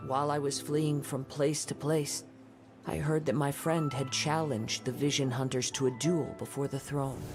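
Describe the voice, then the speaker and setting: An actor playing a calm, melancholy young wandering samurai in a game, speaking in measured, formal, poetic phrases. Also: male voice, medium pitch, over music, close to an everyday voice. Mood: somber, storytelling. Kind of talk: scripted game dialogue.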